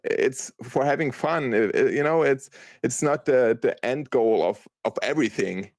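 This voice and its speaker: A man's voice: medium pitch, normal speed, darkish tone, dry recording. A man with a German accent, German his first language, talking as a podcast guest in a hesitant way, with many restarts. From Austria. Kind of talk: casual chat.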